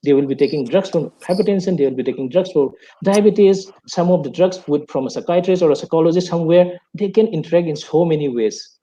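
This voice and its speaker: A man's voice: fairly high pitch, fast talker, warm tone, rough recording. A man, a panelist who earnestly explains points and asks rhetorical questions. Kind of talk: online panel discussion.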